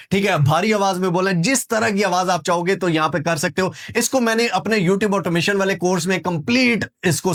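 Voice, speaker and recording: A man with a high voice and a Pakistani accent walks viewers through an online how-to. His delivery is informal and emphatic, and he keeps repeating points and posing rhetorical questions to check they follow. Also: a bit bright. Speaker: online skills tutor and video host. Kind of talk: informal tutorial narration, walking viewers through websites.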